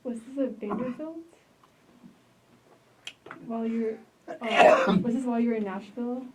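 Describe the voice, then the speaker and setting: A woman's voice: fairly high pitch, steady tone, a little slow. A woman interviewing conversationally, tentatively rephrasing her questions as she goes. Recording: distant mic with faint noise.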